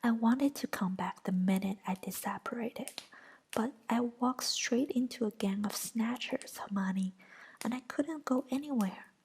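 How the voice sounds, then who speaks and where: Woman doing a young male wizard, defensive, whispered, slow, fairly high. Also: a little hiss or hum. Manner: whispered, pleading then shouting back.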